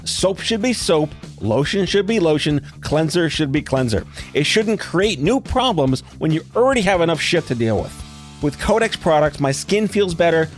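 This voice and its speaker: Middle-aged man, podcast host, blunt and wry, lively pitch, faint music behind. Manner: blunt, wry, casually profane. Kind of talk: conversational podcast interview.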